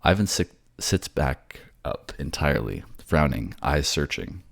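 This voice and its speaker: A man's voice: rumbling low, big pitch swings, measured pace, creaky. A man reading scripted fantasy audio drama narration in an ASMR style. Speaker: narrator and voice actor.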